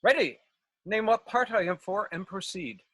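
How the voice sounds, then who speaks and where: A woman's voice: medium pitch, expressive, normal speed, a bit bright, slightly rough, dry recording. A woman playing a self-important, overeager male weaver in a Shakespeare read, declaiming theatrically. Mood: eager, bossy. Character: Nick Bottom, a bombastic, overeager male weaver and amateur actor. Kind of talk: Shakespeare table read over video call. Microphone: video call, close.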